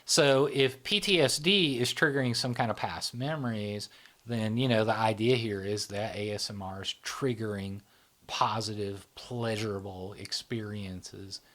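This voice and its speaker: A man's voice: fairly low pitch, a little slow, a bit bright. A man hosting a casual conversation, explaining ideas in loose spoken runs and often checking in with "right?". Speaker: host, channel founder.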